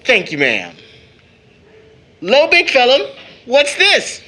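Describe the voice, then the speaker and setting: A man's voice: extremely high pitch, wildly swooping pitch, slow, bright, forceful tone, heavily acted. A man playing a cheerful, bantering older brother, a young man given to playful mock-grand speech, in an audio drama. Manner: playful, florid mock formality.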